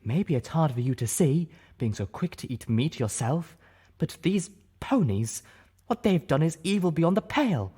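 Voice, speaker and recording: Man putting on a high, scornful unicorn mare. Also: very animated, normal speed, warm tone, breathy edge. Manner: pointed, scornful delivery. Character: stern, indignant female unicorn, righteous and scornful.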